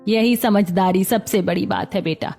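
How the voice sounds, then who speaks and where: A woman's voice: mid-range voice, steady tone, normal speed, gravelly, dark tone, faint music behind. A woman playing a caring, cautious older mother-in-law who advises earnestly in a cartoon family story. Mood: concerned. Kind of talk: Hindi cartoon story acting.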